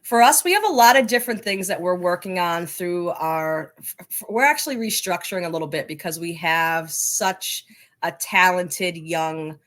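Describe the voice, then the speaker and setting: Lower-pitched woman giving interview answers. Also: animated, moderate pace, fairly bright tone. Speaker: interview guest, coaches' association leader.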